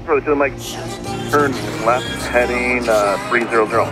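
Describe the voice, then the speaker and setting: A man's voice: medium pitch, normal speed. A man working as an air traffic controller, giving clipped procedural instructions over aviation radio. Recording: aviation radio with loud music behind.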